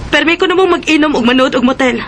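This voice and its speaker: A woman's voice: high voice, very fast, a bit bright, slight background noise. An actress with a Cebuano Filipino accent playing a hurt, plaintive young wife and mother in a radio drama. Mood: hurt, accusing. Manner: plaintive, accusing. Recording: radio drama with music underneath.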